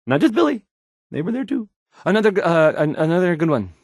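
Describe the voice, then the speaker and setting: A man's voice: sits high, very animated, fairly quick, slightly rough, no echo, studio quality, heavily acted. An actor playing a timid, awkward young male radio DJ in a video game, stammering, hesitant and apologetic. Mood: awkward, downbeat. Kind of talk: post-apocalyptic video game voice acting.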